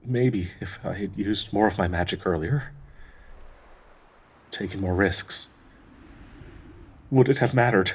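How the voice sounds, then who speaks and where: An actor playing a devoted, grieving male dryad in an intimate audio roleplay, speaking tenderly and haltingly with a husky, breathy voice. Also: fairly low pitch. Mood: remorseful. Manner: slow, halting, tender pleading with sighs.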